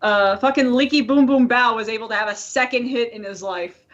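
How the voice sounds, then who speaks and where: A woman's voice: fairly high pitch, fairly quick, a bit bright. Chatty woman podcaster, slightly dull audio. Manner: chatty, informal, swears freely. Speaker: podcast co-host. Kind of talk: casual podcast chat.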